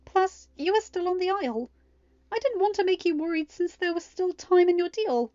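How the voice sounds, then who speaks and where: A woman's voice: very high voice, normal speed, darkish tone, no echo, dull audio, voice pushed up. A woman voicing a vulnerable teenage daughter with a scoffing streak, earnest and hesitant, in a storybook reading. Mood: apologetic, confessing.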